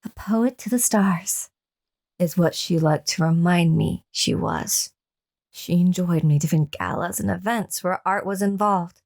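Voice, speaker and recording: A woman's voice: fairly low pitch, unhurried, gravelly, darkish tone. A woman playing a frail, fearful captive lover who narrates her torment in a horror audio drama. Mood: wistful, resigned.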